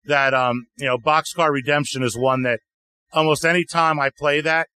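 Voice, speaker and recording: A man talking about his music as an interview guest, loose and conversational, leaning on "you know" between thoughts. His voice sits a little high for a man and comes through bright and forward. The sound is close and dry. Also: normal speed, clear, resonant tone, no echo. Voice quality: deeper voice. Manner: relaxed, chatty, frequent "you know" fillers. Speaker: guest singer-songwriter. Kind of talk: casual interview answers. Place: outdoor music festival.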